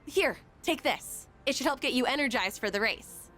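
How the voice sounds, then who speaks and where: An actress playing a peppy, encouraging teenage team manager in a video game, bubbly and enthusiastic. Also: female voice, high voice, expressive, moderate pace, sharp tone, faint music behind, full character voice. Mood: upbeat.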